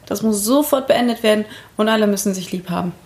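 A woman's voice: medium pitch, fast, darkish tone. A young woman in casual conversation with her partner. She sounds easygoing and laughs often.

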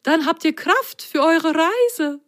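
Storybook lemon fairy, tiny sky-high voice, kind and inviting, a woman puts it on. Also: animated, takes it slowly, exaggerated voice. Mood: welcoming. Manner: very high, sweet, welcoming. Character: kind magical talking lemon, motherly.

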